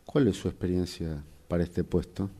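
Un actor con acento rioplatense que interpreta en un radioteatro a un jefe engreído y vengativo, de tono sardónico y condescendiente. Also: male voice, sits low, steady tone, warm tone. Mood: cool, smug. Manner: sardonic, deliberate, condescending. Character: smug vindictive male boss, former errand boy. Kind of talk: radio drama acting.

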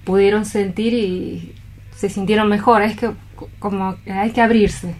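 A woman's voice: medium pitch, fairly even intonation, unhurried, a little mellow. A woman sharing her thoughts as a panelist in a casual discussion. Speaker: panelist on a radio theatre programme.

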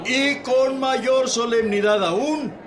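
A slow, high-voiced convention orator. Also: male voice, crowd noise. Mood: rousing. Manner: slow, declamatory. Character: orator presiding over a revolutionary convention. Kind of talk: radio drama acting.

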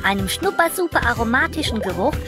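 A woman's voice: fairly high pitch, very animated, quick pace, over music. A woman playing a scatterbrained, kindly young mouse boy in a children's audio drama, with a playful manner. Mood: excited. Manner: playful, stammers when flustered, invents cute compound words.

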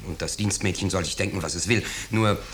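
A man's voice: low-pitched, a little fast, lightly acted. An actor playing a pompous middle-aged businessman, fussily polite and self-righteous, in a 1950s German radio drama. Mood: genial, fussy. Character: pompous middle-aged bourgeois businessman, flustered, falsely genial host. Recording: noisy, clicking 1950s radio recording.

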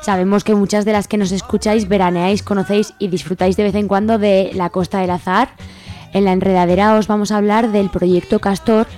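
A woman's voice: medium pitch, little pitch movement, a little fast, a little mellow, soft background music. A woman with a Spanish accent from Spain, presenting a radio programme as its host. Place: radio studio.

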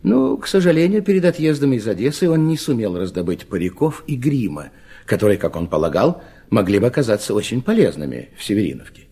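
A man reads literary narration in a dark, slightly husky voice. His intonation is very varied and carries a dry, wry irony. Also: lowish voice, big pitch swings, fast talker.

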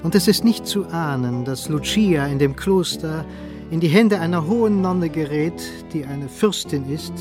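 A male narrator tells a radio play story in an expressive, dramatic style. His voice is on the higher side, with clear music behind him. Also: normal speed. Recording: studio narration over music.

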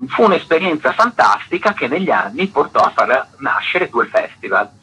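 Husky man with an animated delivery. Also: a little high, normal speed, rough recording. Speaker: festival artistic director, interview guest. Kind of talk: radio interview, explanatory talk.

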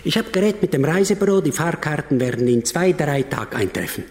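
Caring older uncle for radio drama: singsong, lively, slightly high, in a roomy echo. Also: male voice, fast talker. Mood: fussing. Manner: singsong, Yiddish-style word order. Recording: echoey reverberant church hall.

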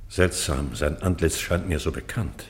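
A man's voice: a bass voice, a little slow. An actor playing a proud, remorseful old British king, declaiming solemnly in classical verse for a radio play. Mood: regal, commanding. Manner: declamatory, solemn, breaking into hushed tones.

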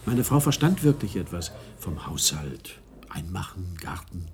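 Deep, lively, nostalgic widower. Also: male voice, a little slow, heavily acted. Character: nostalgic widowed American man. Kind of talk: radio play acting.

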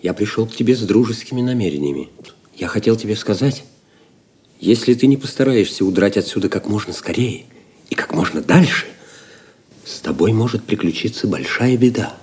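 Husky, resolute young pilgrim. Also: male voice, fairly low pitch, lively intonation, a little fast, a little mellow. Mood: urgent, warning. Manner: earnest, commanding. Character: resolute, secretive pilgrim, a young man in command. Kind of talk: audio drama acting.

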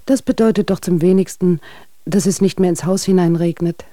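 An actress playing a gossipy letter writer, reporting household news in a German radio drama. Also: female voice, fairly low pitch, fairly even intonation, brisk, mellow, no room sound, studio quality, lightly acted. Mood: reporting, wry.

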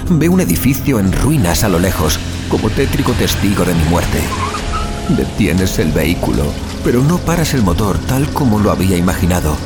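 An actor playing a terrified, fatalistic man in a horror audio drama, speaking in anguished sighs and dramatic pauses. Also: low-pitched. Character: terrified, fatalistic male car passenger awaiting his death. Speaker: narrator of audio fiction. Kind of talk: dramatised first-person horror monologue.

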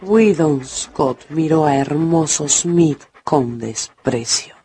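A man's voice: fairly high pitch, slow pace, warm tone, slightly rough, full character voice. A man narrating a radio drama as a dramatic storyteller with scripted prose.

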